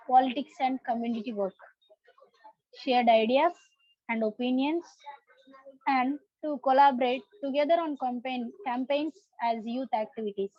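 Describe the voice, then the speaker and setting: A woman with an Indian accent giving a formal, halting presentation over a muffled video call. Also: high-pitched, no room sound. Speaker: youth parliament prime minister, presenter. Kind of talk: prepared presentation.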